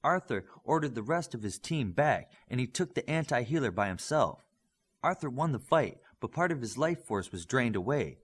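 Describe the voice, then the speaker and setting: Male audiobook narrator, husky, mid-pitched and very lively in intonation, expressive scripted delivery of superhero fiction. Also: normal speed. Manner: expressive reading of superhero story.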